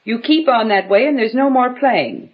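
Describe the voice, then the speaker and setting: A woman playing a polite, caring and slightly uneasy suburban mother in an old radio drama, with a husky voice. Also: fairly high pitch, animated, normal speed. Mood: uneasy, firm. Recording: dull lo-fi old radio recording.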